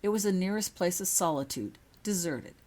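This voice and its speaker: A woman reads a storybook-style tale aloud. Her voice is low for a woman and has a husky edge. It is scripted narration, told by the author. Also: moderate pace, warm tone. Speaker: author reading own fan fiction.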